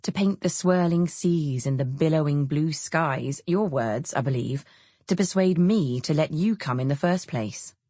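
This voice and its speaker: A woman's voice: low voice, a little slow, mellow, no echo, clean studio sound. A woman voicing the cheerful, self-deprecating heroine of an audiobook novel, bantering and earnest in her protests. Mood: cheerful, reassuring. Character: cheerful, self-deprecating divorced mother, easily flustered narrator heroine. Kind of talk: audiobook character dialogue.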